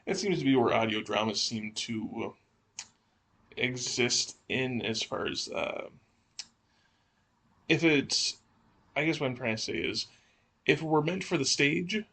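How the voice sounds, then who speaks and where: A man's voice: medium pitch, expressive, measured pace, gravelly, long pauses, pushed and bright, a little hiss or hum. A man co-hosting a podcast in casual discussion, hesitant and searching for his words. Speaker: podcast co-host.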